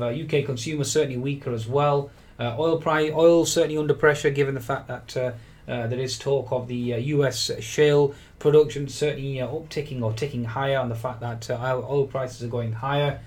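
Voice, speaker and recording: A man giving daily market commentary over trading charts, hesitant with many fillers and frequent repeats. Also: medium pitch. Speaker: market analyst. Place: voice-over on screen recording.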